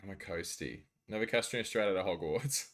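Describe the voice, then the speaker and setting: A man's voice: low-pitched, fairly quick, a bit bright. An Australian man live streaming, chatting casually and jokily with viewers as he reads out their messages.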